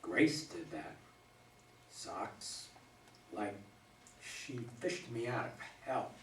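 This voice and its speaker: Man playing a slow, anguished widower drinker. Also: fairly low pitch, lively intonation. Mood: bleak. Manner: halting, anguished, terse. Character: grieving, bitter widowed man, recovering drinker in a bar. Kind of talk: staged play reading. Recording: roomy, distant mic, faint noise.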